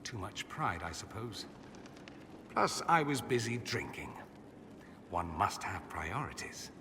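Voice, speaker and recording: A proud, urbane mage nobleman in a fantasy game. His delivery is wry and theatrical with lively swings in pitch, ringing in a long hall reverb over clear background noise. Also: slow, heavily acted. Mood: wry, regretful. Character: proud, witty, flippant mage nobleman in exile. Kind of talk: video game dialogue acting.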